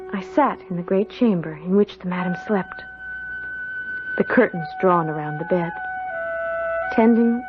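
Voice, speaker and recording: An actress playing an earnest young servant girl who tells her tale in a radio drama. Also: medium pitch. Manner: earnest, storytelling. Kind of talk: 1970s radio drama narration and dialogue. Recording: dull old radio recording. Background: prominent music.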